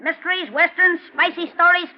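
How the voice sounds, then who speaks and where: A woman's voice: very high-pitched, steady tone, fairly quick. A performer, a woman, playing a brash, friendly little male vaudeville acrobat with breezy slang in a 1940s radio drama. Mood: cocky, breezy. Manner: breezy, slangy showman patter. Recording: muffled, noisy 1940s radio recording.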